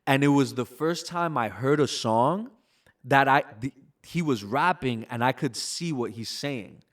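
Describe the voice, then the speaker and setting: A young man from Brooklyn talks through his memories in an easygoing, reflective way. His voice sits at an ordinary middle pitch, and he keeps dropping in casual fillers as he thinks aloud. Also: measured pace. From Brooklyn, New York. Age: 20s. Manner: relaxed, reflective storytelling with frequent "like" and "you know". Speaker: bachata artist, guest. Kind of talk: casual interview conversation.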